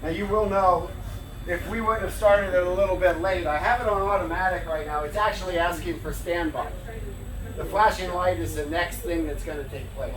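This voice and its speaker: A man's voice: high-pitched, fairly even intonation. Man explaining machinery in an echoey room. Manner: halting, restarts mid-phrase while explaining. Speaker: deacon giving a station tour. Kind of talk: casual technical explanation. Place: indoor transmitter room with machinery. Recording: echoey room, distant mic, equipment noise.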